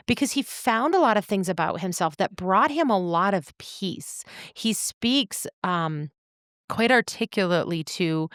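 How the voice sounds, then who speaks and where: A woman co-hosting a podcast, speaking in a measured, articulate way as she reflects and analyzes. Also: mid-range voice, fairly bright tone, dry recording, studio quality. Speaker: podcast co-host.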